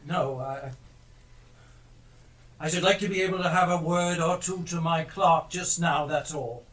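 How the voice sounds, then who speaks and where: A man on stage playing a remorseful old miser shown his past, speaking with halting emotion. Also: on the high side, fairly even intonation, fairly bright tone. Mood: earnest, regretful. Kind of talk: stage play acting. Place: theatre stage. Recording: roomy live stage, distant mic, faint noise.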